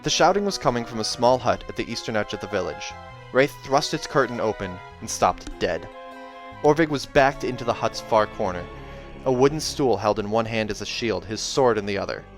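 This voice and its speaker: A man hosting a read-aloud, moving between expressive storybook narration and casual, chatty asides. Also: lowish voice, fairly quick. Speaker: reader and channel host. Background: soundtrack music.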